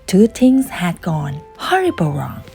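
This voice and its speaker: A woman reading a children's bedtime story aloud as narrator, in a dramatic, deliberate storytelling manner. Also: lowish voice, wildly swooping pitch, gravelly, over music.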